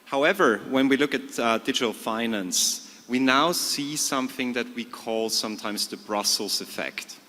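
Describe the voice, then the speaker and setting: A man's voice: mid-range voice, normal speed, few pauses, sharp tone, slight background noise. A man answering as a legal expert on a conference panel, articulate and explanatory. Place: conference stage in a large hall. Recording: long hall reverb.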